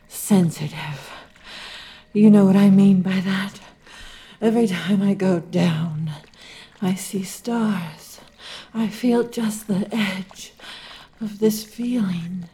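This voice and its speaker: A woman's voice: mid-range voice, dark tone, breathy edge, full character voice. A woman voicing a possessive, needy female werewolf lover in a whispered, intimate audio roleplay, murmuring and often whispering. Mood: teasing.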